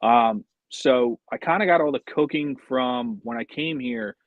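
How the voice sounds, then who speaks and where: A man's voice: medium pitch, measured pace, no echo, narrow, tinny audio. A man, a podcast guest, telling personal stories in a casual conversational way.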